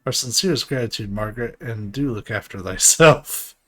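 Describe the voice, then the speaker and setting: A man's voice: medium pitch, fairly bright tone, close to an everyday voice. Man doing a courteous, archaic-tongued fantasy scholar. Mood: courteous, solemn. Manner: formal, ornate, measured. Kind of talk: game dialogue read aloud in character.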